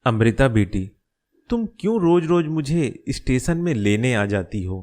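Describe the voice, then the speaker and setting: A man voicing a caring, concerned middle-aged father in a cartoon story, giving gentle advice. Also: mid-range voice, sing-song, unhurried. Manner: gentle, advising. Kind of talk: Hindi cartoon story dialogue.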